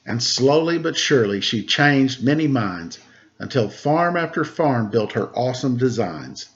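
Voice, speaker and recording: A man, a teacher, reading a rhyming picture book aloud to children in a lively way and asking them questions. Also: medium pitch, unhurried, highs cut off. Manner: lively rhyming delivery with rising questions to listeners. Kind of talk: storybook read-aloud to children.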